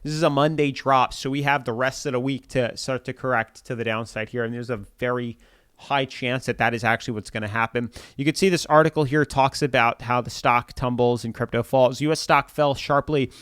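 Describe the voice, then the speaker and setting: A man's voice: medium pitch, fairly even intonation, a little fast, a bit bright, smooth, no room sound, clean studio sound. A man hosting a crypto channel, talking through market charts in casual commentary, a little congested from a cold, chatty and repetitive. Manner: chatty, repeats filler "like", pushes viewers to subscribe.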